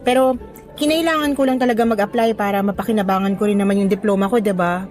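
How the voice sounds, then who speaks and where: A woman's voice: mid-range voice, fast, soft background music, natural voice. A woman acting as a cheerful young new teacher in a radio drama, chatty and appreciative with a hopeful, slightly nervous temper. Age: young adult. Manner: bright-spirited, chatty, appreciative.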